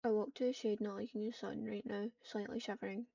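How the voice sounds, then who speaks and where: A woman's voice: mid-range voice, steady tone, brisk, gravelly, warm tone, dry recording. Dull-sounding woman narrating roleplay. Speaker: roleplayer narrating a game session. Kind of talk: improvised third-person story narration. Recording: dull, muffled lo-fi microphone.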